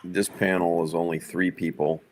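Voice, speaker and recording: A man talking casually in a business meeting about plans, hesitant and halting, often repeating the starts of words. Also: low-pitched, flat delivery. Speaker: live event producer planning a show.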